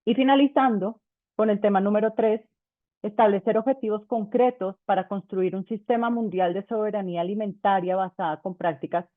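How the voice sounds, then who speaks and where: A woman's voice: medium pitch, no echo. A woman moderating a webinar, formally reading out the introductions of the speakers over a video call. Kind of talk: scripted event introductions. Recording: tinny phone-like call audio, dry.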